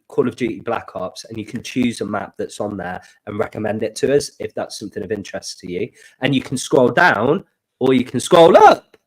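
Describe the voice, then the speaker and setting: Man, squeaky high glitchy voice, blocked nose, cheerful rambling stream host. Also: poor audio. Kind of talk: casual live stream chat. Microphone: desk microphone.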